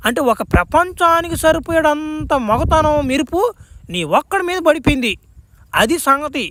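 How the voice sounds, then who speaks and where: A man's voice: very high voice, lively intonation, normal speed, a bit bright, slight background noise. A man voicing a proud clan elder, a comic cartoon villain explaining himself in dialect with self-importance. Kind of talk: crude comic cartoon dubbing. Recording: music behind the voice.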